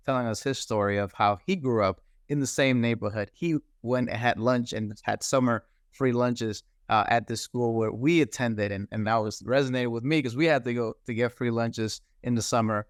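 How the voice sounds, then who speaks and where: A man from the Dominican Republic, a cybersecurity executive, telling his story as an interview guest in a measured, earnest way and pausing often on "uh". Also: fairly low pitch, smooth, no room sound, studio quality. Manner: measured, earnest, pauses with frequent "uh". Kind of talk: reflective personal storytelling.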